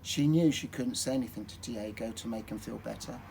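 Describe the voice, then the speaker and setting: A man reading a children's story aloud in an expressive, dramatic storytelling manner. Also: mid-range voice, brisk, creaky, a little hiss or hum.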